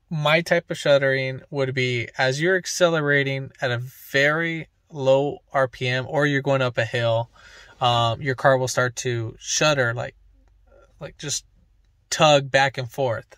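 A man in his thirties, an auto mechanic, talks viewers through a repair in an informal, halting, conversational way into a handheld phone. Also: medium pitch, fairly even intonation, fairly bright tone, dry recording. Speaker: auto mechanic and channel host. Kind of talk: informal hands-on repair explainer to camera. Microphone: handheld phone at arm's length.

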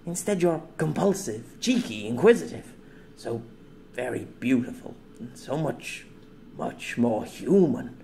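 A man's voice: medium pitch, expressive, a little slow, gravelly, dark tone, a little hiss or hum. A man voicing a lonely, reclusive man who pleads while crying and stammering. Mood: earnest. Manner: desperate, stammering.

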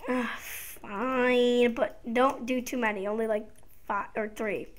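A child or young teen hosting a casual gaming video with live gameplay commentary, sometimes slightly raspy. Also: medium pitch, steady tone, takes it slowly. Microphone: gaming headset.

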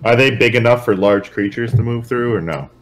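A man's voice: mid-range voice, a little fast. A man playing a tabletop game, talking casually in short, questioning phrases.

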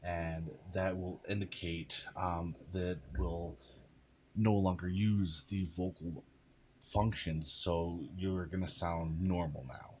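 A man with a low voice talks viewers through software in an informal tutorial style. His delivery is conversational and loose. There is noise behind him on the recording. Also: steady tone, slow. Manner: informal, addresses viewers as guys. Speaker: video creator, tutorial host. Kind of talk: casual software tutorial chat. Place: indoors at a computer.

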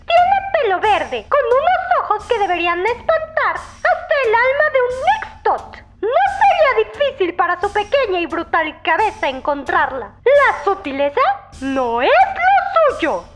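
A woman's voice: extremely high pitch, sing-song, a little slow, faint music behind, exaggerated voice. A woman voicing a haughty, scheming cloud queen in a theatrical, regal manner for a comic dub. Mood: sly, scheming.

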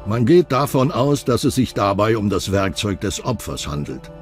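A man's voice: fairly low pitch, very animated, moderate pace. A man reading scripted true-crime documentary narration as the narrator. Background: background music.

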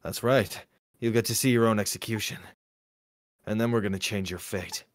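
Man voicing a cool, calm male ghost. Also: on the low side, moderate pace, faint music behind, natural voice. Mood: calm, resolute. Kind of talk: live amateur voicing of game dialogue.

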